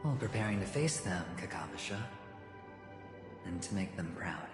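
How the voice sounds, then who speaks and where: An actor playing a suave, weary gambler in a game, speaking wistfully and deliberately with dramatic pauses. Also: male voice, low-pitched, very animated. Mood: gentle, wistful. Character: suave, weary male gambler brooding on fate. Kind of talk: scripted game cutscene acting. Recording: echoey hall reverb with background music.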